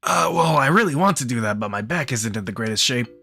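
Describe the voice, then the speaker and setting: A man's voice: medium pitch, expressive, quick pace, vocal fry, sharp tone, heavily acted. A man voicing a blustering middle-aged male braggart from a visual novel, hesitant and full of excuses. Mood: flustered.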